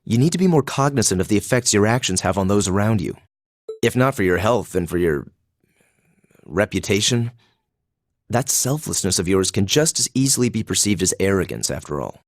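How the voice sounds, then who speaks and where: Low-voiced, haughty young nobleman. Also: sing-song, dry recording, studio quality. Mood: firm, reproving. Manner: formal, measured, aloof diction. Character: haughty, perceptive young nobleman student, cool and composed. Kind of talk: English video game voice acting.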